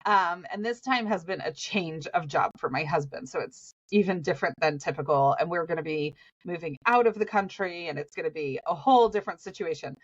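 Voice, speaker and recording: A woman's voice: lowish voice, a little fast. Sniffly Southern woman in her forties, chatty podcast co-host with a rambling, laugh-filled delivery and a slightly dull sound. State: sniffly from allergies. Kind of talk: casual podcast chat.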